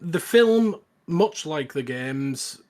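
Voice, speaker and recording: A man's voice: a little high, expressive, extremely slow. A man hosting a podcast, chatting casually as he reviews films. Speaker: podcast host.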